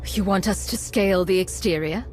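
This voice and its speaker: A woman's voice: medium pitch, normal speed, slight background noise, natural voice. A woman voicing a serious commander in a video game, terse, skeptical and no-nonsense. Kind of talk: video game cutscene acting.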